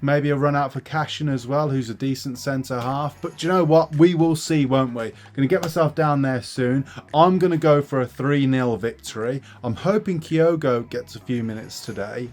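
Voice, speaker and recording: Rambling young Brummie football fan. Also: male voice, mid-range voice, moderate pace, few pauses, a little mellow. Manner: chatty, rambling, self-mocking. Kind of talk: casual vlog to camera. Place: outdoors around a football stadium.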